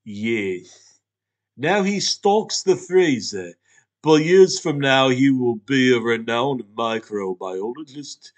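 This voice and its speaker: A man's voice: medium pitch, expressive, slow pace. A man voicing a solemn, prophetic talking snack pastry, male, with a slight English accent and grand, archaic phrasing. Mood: foreboding.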